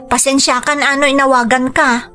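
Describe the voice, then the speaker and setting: A high-voiced Ilocano daughter in a radio drama. She is caring but exasperated, pleading and reproaching with open emotion. Music plays faintly behind her. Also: level tone, brisk, sharp tone. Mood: worried, confiding.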